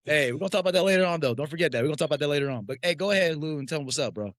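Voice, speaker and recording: A man co-hosting a casual podcast, excitable and full of quick, friendly banter. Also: on the high side, no echo. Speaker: podcast co-host.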